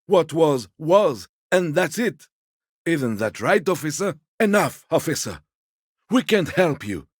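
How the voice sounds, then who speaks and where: An actor playing a fussy, timid old gourmand gentleman in a video game, a husky voice and a polite, hesitant, slightly pompous manner. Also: a little high, big pitch swings, takes it slowly, no echo, studio quality, heavily acted. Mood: soothing, apologetic. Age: older. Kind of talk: video game character dialogue.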